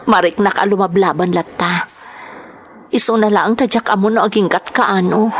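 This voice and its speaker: A woman's voice: medium pitch, fairly even intonation, normal speed, a little hiss or hum, close to an everyday voice. A woman playing an anguished, devoted young wife in a sentimental radio drama, pleading and emotional. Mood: sorrowful, resigned.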